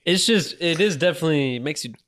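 A man's voice: on the high side, lively intonation, fast talker, a bit bright. A man in his twenties, co-hosting a podcast, talking in a laid-back, self-mocking way and cursing casually. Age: 20s. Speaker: podcast co-host. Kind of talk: casual chat among friends.

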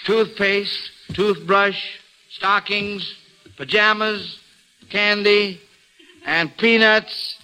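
Bright, forceful American radio quizmaster, a man. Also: sits high, fairly even intonation, very slow, clear, resonant tone, low-quality recording. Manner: folksy, chatty patter addressed to kids and listeners. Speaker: quiz show host. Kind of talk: classic mid-century radio hosting, part scripted and part ad-libbed.

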